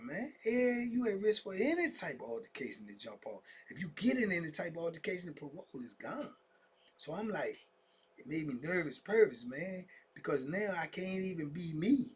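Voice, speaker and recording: Husky man in his fifties, street slang. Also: a little high. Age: 50s. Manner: street slang, many fillers like "man" and "you dig"; sometimes drops to a whisper. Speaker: vlogger telling his own prison story. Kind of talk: casual storytelling monologue to camera.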